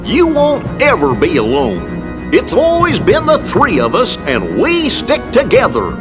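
An actor voicing a kindly, loyal, dopey cartoon dog in a video game, with a husky voice. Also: male voice, very high voice, huge pitch swings, a little slow, over music, heavily acted. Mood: reassuring. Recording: long hall reverb.

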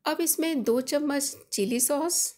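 Woman host, casual home-cook voice-over, slightly high voice, patient and explanatory. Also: takes it slowly, a little mellow. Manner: friendly instructive, chatty home-cook explaining. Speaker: cooking channel host. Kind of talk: recipe voice-over narration.

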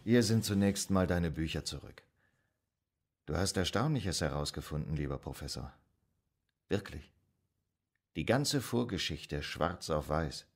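Radio drama casting: deep-voiced, measured psychoanalyst, serious and troubled, period Vienna. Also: male voice, animated, exaggerated voice. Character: earnest, troubled male psychoanalyst in 1920s Vienna. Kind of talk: German radio drama acting.

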